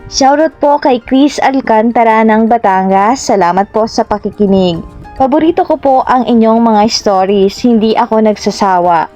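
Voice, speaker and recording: A woman hosting a story channel, reading scripted thanks and shout-outs in a courteous, formal way. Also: fairly high pitch, normal speed. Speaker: host of horror story channel. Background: music.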